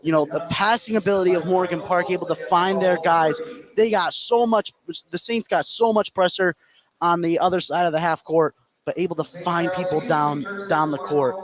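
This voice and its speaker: A man's voice: high voice, fairly even intonation, normal speed, music in the background, low-quality recording. A man giving conversational color commentary on a live basketball game. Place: courtside in a gymnasium. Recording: gym crowd noise behind voice. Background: game crowd.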